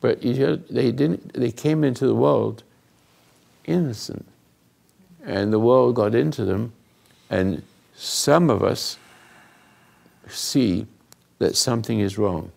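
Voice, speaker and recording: An older man hosting a talk, giving rambling, earnest moral teaching to a live group, often dropping into hushed asides. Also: mid-range voice, slow pace, long pauses. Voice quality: gravelly, husky. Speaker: host and spiritual counselor.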